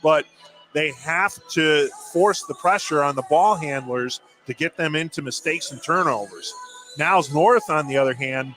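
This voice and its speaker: A man's voice: a little high, moderate pace, fairly bright tone, faint music behind. A man working as color commentator on a live sports broadcast, explaining plays in a conversational, reactive way.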